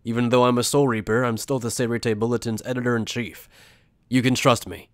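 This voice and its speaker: A man's voice: medium pitch, steady tone, a little fast, no echo, clean studio sound, natural voice. A man acting in an anime fan dub as an earnest, principled young officer who is also a journalist, speaking in a sincere, insistent way. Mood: resolute. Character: earnest male Soul Reaper lieutenant and principled journalist.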